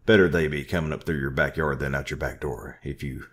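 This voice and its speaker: A man voicing a gruff, cagey older male shopkeeper who blusters and haggles. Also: very deep voice, steady tone, brisk. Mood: sly. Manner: blustering, haggling, shouting back.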